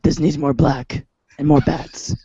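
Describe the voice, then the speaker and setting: A man voicing a brooding, gruff, melodramatic Batman-style boy hero in a gravelly voice for comic read-along theater. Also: fairly high pitch, steady tone, unhurried, mellow, dry recording. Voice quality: gravelly, husky. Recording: muffled, dull lo-fi audio.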